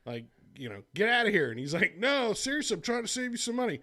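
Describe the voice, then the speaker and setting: High, lively man telling stories. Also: quick pace. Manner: animated storyteller, laughs often. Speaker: podcast co-host. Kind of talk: casual chat.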